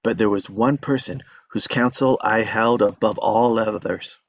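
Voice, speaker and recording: A man's voice: fairly low pitch, a little slow. A man playing an adventurous, easygoing young small-town man who tells his own story at a table read. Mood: wry reminiscing. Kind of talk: table-read acting with first-person narration. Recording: dull lo-fi microphone sound.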